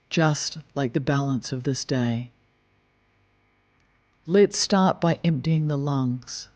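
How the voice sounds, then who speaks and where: A man leading a guided meditation in a slow, soft, soothing manner, gently counting breaths. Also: fairly high pitch, no echo, highs cut off.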